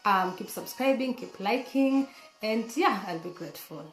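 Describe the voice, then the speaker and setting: A woman with a hoarse voice, talking casually and earnestly to camera as a vlogger, with frequent fillers and restarts. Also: on the high side, expressive, normal speed, echoey room, poor audio.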